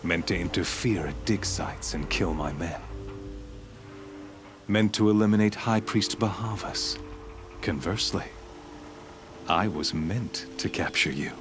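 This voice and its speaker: An actor playing a ruthless zealot warlord of a sun cult in a video game, speaking in a grandiose, menacing, deliberate manner. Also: male voice, low voice, music in the background. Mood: gloating, fervent. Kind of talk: dramatic video game acting.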